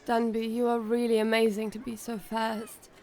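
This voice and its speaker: A guarded, sluggish young character from an audio drama, played by a woman. The voice sits a little high and speaks in an earnest, halting way. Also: monotone, mellow, slight background noise, close to an everyday voice. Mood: weary, earnest.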